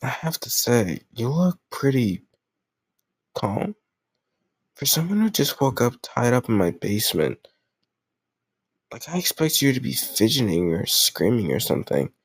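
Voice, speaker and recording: A man's voice: medium pitch, big pitch swings, a little slow, pauses often, slightly rough, no room sound, full character voice. A man playing a lovesick, possessive yet awkwardly doting kidnapper in an intimate roleplay audio drama, talking straight to the listener with rambling reassurance. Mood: concerned, doting.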